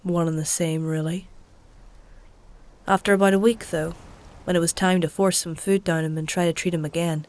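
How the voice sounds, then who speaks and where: Actress as fantasy healer: weary woman, lower voice, dry wit, matter-of-fact delivery. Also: steady tone, normal speed, a little mellow, natural voice. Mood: reflective, dry. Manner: wry, coaxing, matter-of-fact. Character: weary, dry-witted woman healer tending a dying stranger. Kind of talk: dark fantasy audio drama, narration and dialogue.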